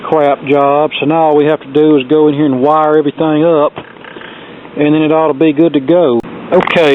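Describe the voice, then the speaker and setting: Phone-like thin recording, man, slightly high voice, plain-spoken, casual tutorial talk, folksy hobbyist. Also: a little hiss or hum. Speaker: hobbyist presenting a repair project. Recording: thin, phone-like band-limited sound.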